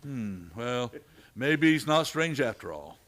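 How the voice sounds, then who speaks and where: A man's voice: mid-range voice, big pitch swings, slow pace, a bit bright. A man playing a stern but fair frontier marshal in a Western radio play, terse and commanding. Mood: easygoing.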